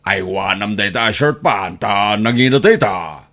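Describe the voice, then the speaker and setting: Guy doing a stern show-off uncle, slow n drawn out, big pitch swings, ilocano accent. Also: expressive, bright, forceful tone. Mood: boastful. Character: stern, boastful uncle demanding gratitude. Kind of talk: Filipino audio drama acting.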